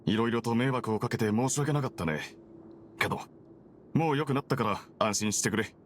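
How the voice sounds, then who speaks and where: A man's voice: mid-range voice, brisk, slight background noise, lightly acted. A man voicing a weary, apologetic prisoner in an anime game, with a casual, placating and slightly hesitant manner. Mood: reassuring. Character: weary adult male prisoner, placid, apologetic, evasive.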